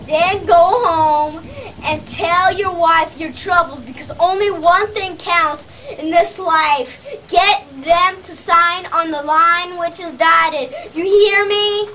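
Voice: very high-pitched, slow, pushed and bright, exaggerated voice. A child playing a ruthless, bullying sales boss, barking taunts emphatically in a theatrical puppet-parody monologue. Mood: contemptuous, hectoring. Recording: distant mic, faint background noise.

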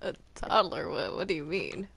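A woman's voice: on the low side, big pitch swings, moderate pace, gravelly, very noisy. A woman chatting casually in improvised roleplay while playing a video game. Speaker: gamer in a roleplay.

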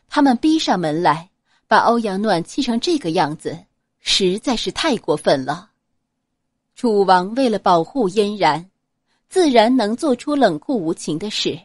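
A woman narrating a serialized novel as an audiobook, reading in a dramatic, expressive manner. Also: medium pitch, no room sound, clean studio sound.

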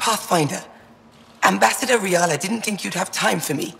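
An earnest alien man of learning in a video game. His high, creaky voice is full of eagerness and rings in a long reverb. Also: moderate pace, bright, forceful tone, exaggerated voice. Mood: eager, earnest. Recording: reverberant hall, faint noise.

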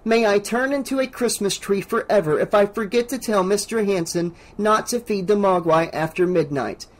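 A man voicing an excitable, boastful teenage boy who talks eagerly and pleads. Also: high-pitched, normal speed, pitched above the performer's own voice, close to an everyday voice. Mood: reassuring.

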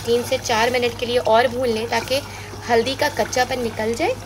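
A woman hosting a cooking channel, calmly explaining a recipe step by step with small hesitations. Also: on the high side, noisy background. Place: home kitchen at a gas stove. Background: background music.

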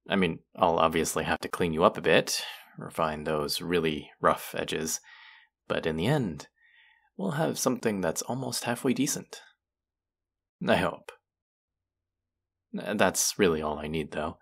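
A condescending young college man, played by a male actor in an audio roleplay, with a very deep voice edged in gravelly fry. He drawls with haughty, sardonic smugness, speaking straight to the listener. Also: a bit bright, full character voice. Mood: grudging, calculating. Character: condescending, smug young male college rich-club hopeful.

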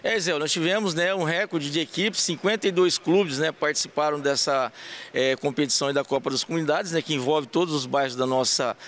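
A man in his fifties from Brazil, a city sports secretary answering a TV reporter's questions, pausing often with fillers and restarting words. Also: on the high side, a bit bright, slight background noise. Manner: halting, many fillers and restarts. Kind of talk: TV news interview answers. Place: indoors in front of a printed backdrop. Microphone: handheld reporter mic held close.

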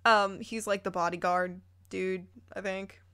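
A woman's voice: medium pitch, little pitch movement, measured pace, a bit bright, clear, resonant tone, no echo, clean studio sound. A woman reading fan fiction aloud, dropping casual, incredulous, playful asides as she goes.

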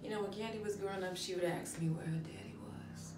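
A woman's voice: mid-range voice, steady tone, fast, lightly acted. A woman acting a dramatic stage monologue as an embittered, wounded young mother, raw and emotional, dropping into near-whispers. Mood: hurt, confessional. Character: embittered, wounded young mother confronting her child's absent father. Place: echoey room. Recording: echoey room, distant mic, faint noise.

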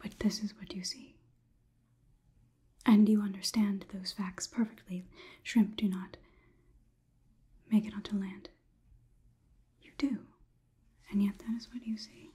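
A young woman whispering an ASMR roleplay close to the mic as a coolly logical robot overlord, in a halting, clinical, deliberate way. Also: mid-range voice, little pitch movement, dark tone. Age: young adult. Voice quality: breathy, unvoiced whisper. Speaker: ASMR performer. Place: small indoor room. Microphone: close microphone.

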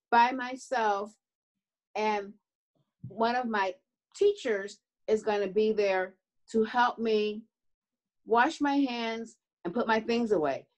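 A chatty young puppet child, acted by a woman, speaks in a fairly high voice. The manner is sincere and simple, as in a puppet show for small children. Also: slow, pauses often. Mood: sincere, proud.

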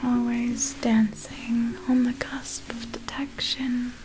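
A woman voicing a seductive, predatory vampire in a breathy ASMR whisper, telling a story with slow, lingering, deliberate phrasing. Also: a little high, level tone, over music. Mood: wistful, savoring. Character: seductive, predatory vampire thief recounting nocturnal prowls.

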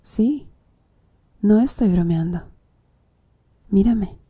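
A seductive female tattoo artist acted by a woman in an ASMR roleplay, teasing the listener in a breathy murmur. Her voice is very dark and mellow, husky, and unhurried. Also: mid-range voice, full character voice. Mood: seductive, intimate. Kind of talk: Spanish-language ASMR roleplay.

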